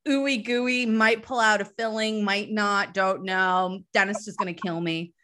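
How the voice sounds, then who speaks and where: A woman's voice: medium pitch, steady tone, a little slow, bright, forceful tone, slight background noise. A woman from southern New Hampshire hosting a casual chat show, chatty and laughing easily as she reads listener questions. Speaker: podcast host.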